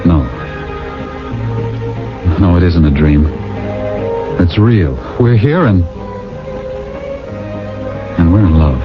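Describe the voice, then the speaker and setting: An actor playing an earnest, lovestruck husband, a nostalgic misfit of the future, in a radio drama, with halting, sincere delivery. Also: male voice, sits low, lively intonation. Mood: awed, loving. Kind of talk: 1980s radio drama acting. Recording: dull vintage radio broadcast with music.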